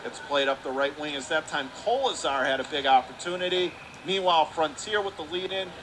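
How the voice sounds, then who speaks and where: A man calling live radio play-by-play of a hockey game, talking rapidly and rising to excited shouts on close plays. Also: on the high side, noisy background.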